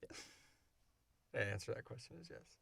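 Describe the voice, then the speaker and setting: A man's voice: medium pitch, animated, brisk, gravelly, fairly bright tone, husky, dry recording, clean studio sound. A man in his thirties hosting a casual podcast Q&A, rambling along in an enthusiastic, jokey way. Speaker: podcast creator and host. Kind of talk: casual Q&A chat.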